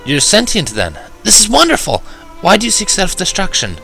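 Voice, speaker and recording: A man's voice: high voice, wildly swooping pitch, moderate pace, bright, forceful tone, faint music behind, pitched above the performer's own voice, exaggerated voice, low-quality recording. A man voicing a curious, persistent, bookish woman with a precise, questioning manner in a story reading. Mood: excited, curious.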